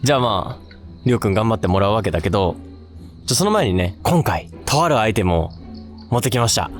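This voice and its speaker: A man's voice: fairly low pitch, expressive, fast, soft background music. A man hosting an unscripted ghost-hunting video on location outdoors, talking in a casual, conversational way. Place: outdoors at a suspension bridge.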